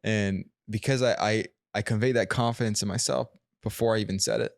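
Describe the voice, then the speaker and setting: Animated young male guest, dry sound. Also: fairly low pitch, brisk, vocal fry, dry recording. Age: young adult. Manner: animated storyteller, acts out his own quotes. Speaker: guest, sales closer. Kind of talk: casual interview chat.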